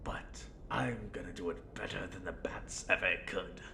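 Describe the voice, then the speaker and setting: Male amateur performer as scheming clown villain, low gravelly voice, sardonic rambling, erratic muttering and outbursts, roleplay monologue, roomy echo. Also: fairly even intonation, moderate pace, sharp tone, heavily acted. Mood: menacing, gloating. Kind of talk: in-character audio roleplay monologue. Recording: roomy echo, faint rumbling background noise.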